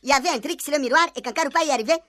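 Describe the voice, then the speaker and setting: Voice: high voice, very fast, noisy background, natural voice. A performer playing a puzzled, insistent young housemate in a comic radio serial. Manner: bewildered, insistent. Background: music.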